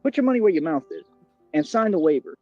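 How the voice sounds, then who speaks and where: A man's voice: on the high side, sing-song, quick pace, warm tone. Man, boastful, confrontational, dull lo-fi audio, faint music behind. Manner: boastful, confrontational, repetitive slang. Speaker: livestreamer. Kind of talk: boastful livestream rant. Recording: dull, dry lo-fi stream audio.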